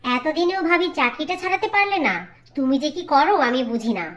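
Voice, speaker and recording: A woman's voice: sits high, lively intonation, a little fast, a bit bright. A woman voicing a spoiled, scheming young sister-in-law in a cartoon, demanding and whiny. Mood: scheming, confident. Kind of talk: Bengali cartoon acting.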